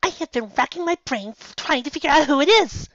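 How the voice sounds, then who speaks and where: A man's voice: very high voice, lively intonation, fairly quick, sharp tone, no echo, dull audio, voice pushed up, heavily acted. A man voicing an anxious young maid mare working in a palace, in a fan storybook reading. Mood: worried.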